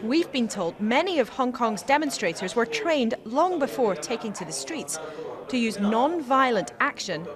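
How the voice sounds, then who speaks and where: A woman's voice: a little high, very animated, moderate pace, a crowd in the background. Woman narrating a news report.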